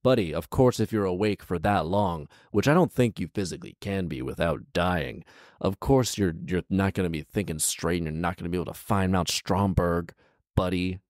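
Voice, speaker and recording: A man's voice: sits low, moderate pace, a little mellow, no room sound. A man in his thirties reading a fairy tale aloud and breaking off for casual, incredulous, bantering commentary, speaking close into a handheld microphone. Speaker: storyteller and host. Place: small living room, indoors. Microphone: handheld microphone held close.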